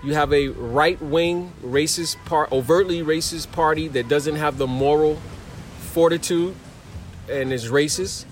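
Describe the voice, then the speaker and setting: A man talking casually and earnestly about his travels, searching for words as he reflects. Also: on the high side. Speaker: traveler in conversation.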